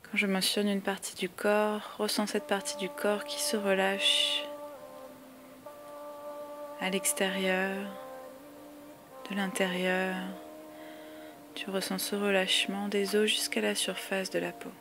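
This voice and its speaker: A woman guiding a yoga relaxation meditation with calm, soothing, measured instructions and long pauses. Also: medium pitch. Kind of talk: guided meditation, slow spoken body-scan instructions. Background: music.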